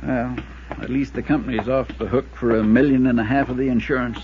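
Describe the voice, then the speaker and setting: An actor playing an anxious, insistent small-town American insurance agent in a 1950s radio drama, earnest and pleading, with a husky voice. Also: male voice, mid-range voice, fairly even intonation, fairly quick, slight background noise, lightly acted. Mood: convinced. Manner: earnest, pleading, hesitant under pressure. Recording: dull lo-fi 1950s radio recording.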